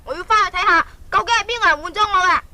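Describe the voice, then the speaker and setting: A woman's voice: extremely high pitch, very fast, sharp tone, heavily acted. A woman with a Hong Kong accent playing an eager, naive young apprentice son in a children's audio drama. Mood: distressed, insistent. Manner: eager, exclaiming, artless. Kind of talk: 1980s audio drama acting for children's storybook. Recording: faintly hissy old 1980s cassette tape.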